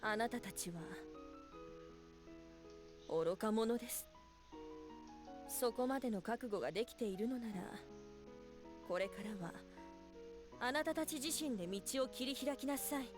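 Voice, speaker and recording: A voice actress playing a solemn, sad and stern spirit woman in a Japanese visual novel, speaking in formal, measured lines. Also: on the high side, lively intonation, over music, close to an everyday voice. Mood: stern, sighing.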